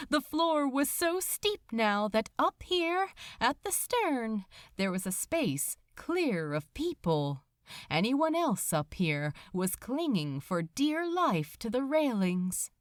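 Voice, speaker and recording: A woman reading fiction aloud as a narrator, in an expressive, suspenseful and deliberate manner. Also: fairly high pitch, dry recording, studio quality. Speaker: audiobook narrator. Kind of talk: dramatic scripted narration of fiction.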